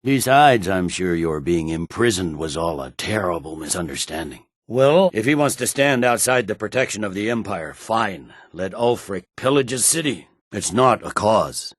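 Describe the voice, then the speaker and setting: A man's voice: lowish voice, animated, moderate pace, few pauses, no room sound, studio quality. A man playing a stern, weary imperial general in a video game, speaking with clipped, brusque, formal authority. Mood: measured, dry, then exasperated. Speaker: military commander. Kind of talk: scripted video game dialogue.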